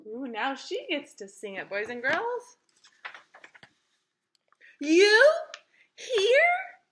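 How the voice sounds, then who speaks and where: A woman's voice: on the high side, very animated, a little slow, sharp tone. A woman chatting to young children as a co-reader, prompting them in a teacherly way. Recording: faint background noise.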